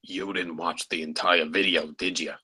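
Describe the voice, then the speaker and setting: A man acts a jaded campus security guard in a comedy script reading. The voice is very low with a hard, forward edge. He delivers everything deadpan, as plain fact. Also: normal speed, breathy edge, heavily acted. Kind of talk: screenplay table read.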